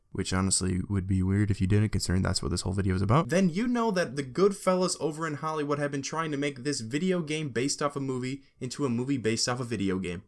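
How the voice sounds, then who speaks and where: Animated, sarcastic young male video host. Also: mid-range voice. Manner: sarcastic, joking, profane asides. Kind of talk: casual scripted video commentary on gaming.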